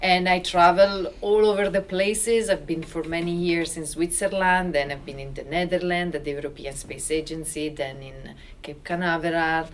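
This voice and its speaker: Italian lady on a panel, lowish voice, accented english, a bit of room hum. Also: normal speed, hardly any pauses. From Italy. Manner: animated, searching for words, non-native phrasing. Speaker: physicist on a panel. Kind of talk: panel introduction. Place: university lecture room with audience. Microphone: handheld mic.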